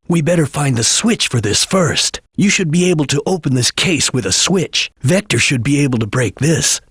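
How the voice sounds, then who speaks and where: A man voicing a serious, disciplined chameleon ninja in a video game, speaking in a husky, clipped and measured way as he gives instructions. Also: mid-range voice, a bit bright, no room sound, studio quality, full character voice. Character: serious, disciplined male chameleon ninja detective, calm and watchful. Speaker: video game character giving hints.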